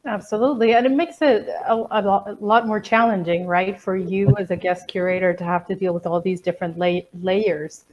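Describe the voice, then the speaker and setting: A woman's voice: medium pitch, steady tone, a little mellow. Middle-aged woman moderating over dull video call. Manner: measured, with frequent restarts. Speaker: museum host.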